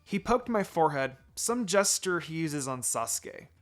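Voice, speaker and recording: A man reading a first-person fan fiction story aloud in an expressive narrating style, with music under the voice. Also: a little high, normal speed.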